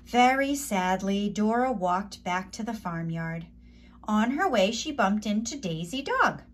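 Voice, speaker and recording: Slow, expressive woman reading a children's story. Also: medium pitch. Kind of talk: children's story read-aloud.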